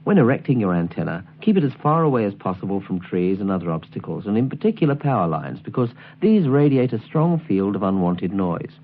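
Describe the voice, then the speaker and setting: Crisp, tinny male narrator with lively intonation. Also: on the low side, brisk, hardly any pauses. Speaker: presenter of an instructional album. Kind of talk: scripted instructional narration. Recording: tinny, band-limited 1979 album recording.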